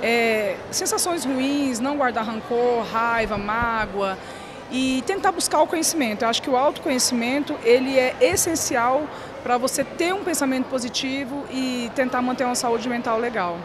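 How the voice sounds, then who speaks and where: A Brazilian woman speaks in a fairly high voice, answering a TV interview. Her phrases come haltingly, with pauses in the middle. A handheld mic picks her up in a large hall with clear background noise. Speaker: guest speaker being interviewed. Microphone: handheld reporter microphone.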